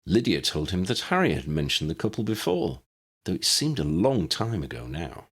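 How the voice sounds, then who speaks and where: A man reads a story aloud as an audiobook narrator. His voice sits low and has a husky, slightly rough texture. The delivery is scripted narration. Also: sing-song, moderate pace.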